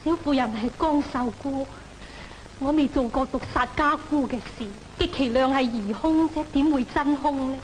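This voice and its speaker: An actress playing an aggrieved young widow pleading innocence in old opera film style, formal and plaintive. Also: female voice, sits high, normal speed, lightly acted, low-quality recording. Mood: protesting innocence. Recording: old film soundtrack with hiss.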